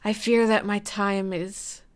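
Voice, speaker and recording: A woman voicing a calm, serious young female warrior in a low, slightly raspy, flat monotone. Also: takes it slowly, reverberant, natural voice. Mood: grave.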